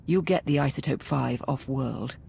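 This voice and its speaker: An actor playing a frank, dutiful military operations aide in a video game, clipped and businesslike, with a creaky voice. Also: male voice, mid-range voice, moderate pace, a little mellow, slight background noise. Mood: resolute.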